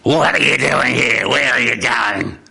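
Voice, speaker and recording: A man's voice: medium pitch, measured pace, gravelly, bright, forceful tone, breathy edge, exaggerated voice. A man voicing a miserable, weak wolf in a live told story, questioning and pleading. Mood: gruff challenge.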